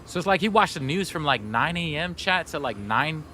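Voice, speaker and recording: A man's voice: a little high, expressive, moderate pace, bright, forceful tone, a little hiss or hum. A man whose first language is Somali, chatting casually and upbeat with his live-stream audience while gaming. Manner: chatty, upbeat, talks straight to chat.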